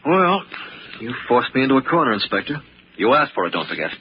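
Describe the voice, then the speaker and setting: An actor playing a tough but reassuring private detective in an old-time radio drama, firm, plain-spoken and slangy. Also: male voice, mid-range voice, very animated, fast talker, lightly acted. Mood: grim, measured. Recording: dull, noisy old radio recording.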